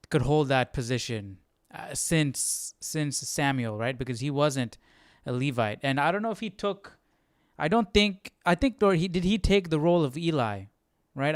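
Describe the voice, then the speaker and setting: A man's voice: a little high, smooth, no room sound, clean studio sound. A man leading a casual unscripted Bible study as host, thinking aloud hesitantly and often checking with a "right?". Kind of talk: casual unscripted teaching commentary.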